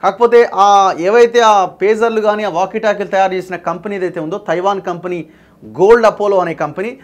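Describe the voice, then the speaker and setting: Male news presenter, Telangana accent, high register, emphatic explanatory delivery. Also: steady tone, moderate pace. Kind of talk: news reading with explanatory commentary.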